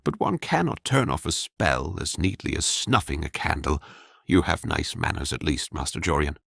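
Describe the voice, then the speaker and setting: Brief: man voicing a blunt young maid, deep harsh voice, gravelly edge, audiobook. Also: animated, normal speed, no room sound, clean studio sound, heavily acted. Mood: wary. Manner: blunt, sharp-tongued.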